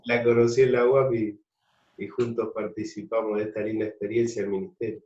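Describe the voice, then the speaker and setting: Argentine pastor, distant and roomy. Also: male voice, fairly low pitch, level tone, brisk, a little mellow. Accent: Argentine Spanish. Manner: reflective, fond storytelling. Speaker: pastor guest. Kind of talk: interview testimony. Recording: distant, roomy, dull sound.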